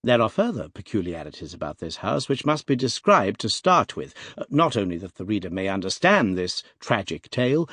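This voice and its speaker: A man's voice: on the low side, sing-song, a little fast, few pauses, fairly bright tone, breathy edge, no echo. A man reading classic fiction aloud as a scripted audiobook narration.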